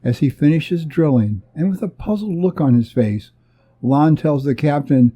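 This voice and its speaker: A man's voice: on the high side, expressive, normal speed, husky, highs cut off. A man narrating an adventure serial in a suspenseful, theatrical manner. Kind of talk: dramatic serial story narration.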